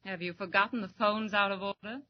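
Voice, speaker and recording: A woman playing a scornful, sharp-tongued newspaper reporter in a 1940s radio serial. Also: medium pitch, steady tone, a little fast, close to an everyday voice. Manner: scornful and cutting toward her colleague. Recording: dull old radio transcription with hiss.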